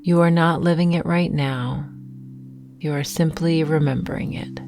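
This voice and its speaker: A woman with a low voice leads a guided meditation. She speaks very slowly and gently, leaving long pauses between soothing affirmations. Soft music with singing bowls plays faintly behind her. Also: fairly even intonation.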